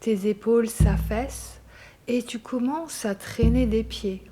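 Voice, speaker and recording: A woman guiding a yoga nidra meditation in a calm, soothing and gentle manner. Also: on the high side, a little slow, slightly rough. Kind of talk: guided meditation narration. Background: soft meditation music with chimes.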